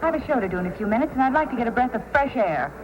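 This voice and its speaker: An actress playing a coy, guarded frontier entertainer in an old-time radio drama. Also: female voice, on the high side, animated, very fast, lightly acted. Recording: noisy old radio recording.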